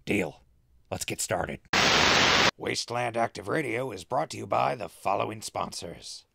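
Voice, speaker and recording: A man playing an easygoing ghoul radio host in a post-apocalyptic audio drama, laid-back and conversational with a gravelly voice. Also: lowish voice, measured pace, heavy background noise. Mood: placating. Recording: music bed under the voice.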